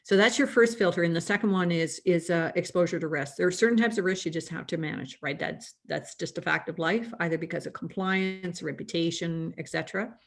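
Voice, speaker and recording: A woman's voice: on the low side, fairly quick, vocal fry, few pauses. A Canadian woman speaking as a guest expert, chatty and explaining in a casual conversational way. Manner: chatty, laughs easily, restarts often. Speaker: risk management guest.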